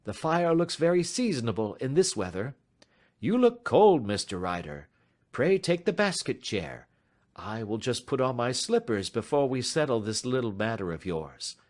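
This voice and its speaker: A man voicing a sharp, self-assured gentleman detective in an audiobook, speaking in a crisp, measured, gently ironic way. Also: mid-range voice, very animated, voice pushed up, natural voice. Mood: confident. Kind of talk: audiobook reading.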